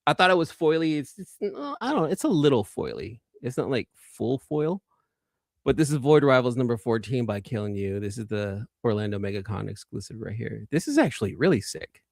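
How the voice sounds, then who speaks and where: Man, lively swinging intonation, enthusiastic slangy live-stream host, repeats himself for emphasis, dry close sound. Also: mid-range voice, fairly quick, creaky, no echo. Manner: informal slangy talk. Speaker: host of a geek collecting live show. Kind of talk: casual live-stream chat showing off comics.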